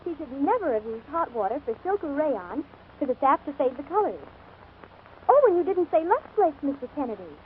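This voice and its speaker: A woman's voice: high voice, very animated, a little fast. A woman giving chirpy, instructive advice in a scripted 1940s radio commercial. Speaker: advertisement co-presenter. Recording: scratchy, narrow-band 1940s radio broadcast.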